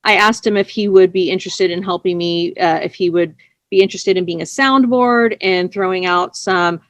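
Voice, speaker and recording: A woman's voice: lowish voice, moderate pace, fairly bright tone, dry recording. Chatty woman guest, dry close sound. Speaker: interview guest, audio drama writer. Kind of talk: casual conversational storytelling.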